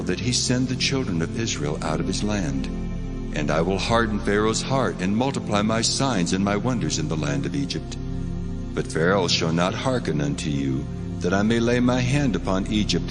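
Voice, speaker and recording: Mighty, solemn God for scripture drama: very low, commanding, cavernous reverb. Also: male voice. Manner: solemn, commanding, measured declamation. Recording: long cavernous reverb, music underneath. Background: music.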